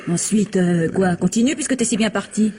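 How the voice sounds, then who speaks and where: An actress playing a vulgar, jealous starlet, sarcastic and vengeful, in a French radio crime drama. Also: female voice, mid-range voice, fairly quick, dark tone, slight background noise, close to an everyday voice. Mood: impatient, curt. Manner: sarcastic, cutting, confrontational.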